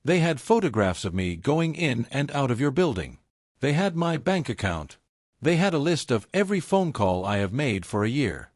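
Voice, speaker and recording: A man voicing a loyal female secretary in an audiobook, low and steady under strain. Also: moderate pace, no room sound, clean studio sound. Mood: strained confession. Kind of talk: audiobook character dialogue.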